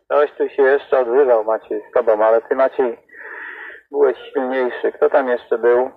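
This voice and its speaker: A man's voice: medium pitch, steady tone, moderate pace, vocal fry, slight background noise. A man chatting casually as an operator over a CB radio. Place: heard through an external radio speaker. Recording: tinny, narrow CB radio transmission.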